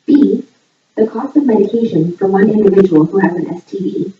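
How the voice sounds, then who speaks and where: A woman hosting a trivia game in a scripted voice-over, reading questions and answers in a measured, item-by-item way. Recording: echoey, distant, muffled low-fidelity playback. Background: voice audio played back in the room while filming.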